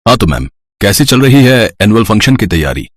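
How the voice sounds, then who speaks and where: A man playing a stern, middle-aged school principal in a story, husky, officious and admonishing. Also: fairly low pitch, sing-song, brisk, dry recording.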